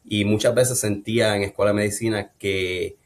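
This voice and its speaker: A middle-aged man with a Puerto Rican accent speaks in a low voice that barely moves in pitch. He tells his story slowly and thoughtfully, often pausing on "eh" and "ah" as he finds his words. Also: steady tone. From Colombia, raised in Puerto Rico. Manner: unhurried and thoughtful, with frequent "eh" and "ah" fillers and restarts. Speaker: guest neurologist. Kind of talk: interview, personal story.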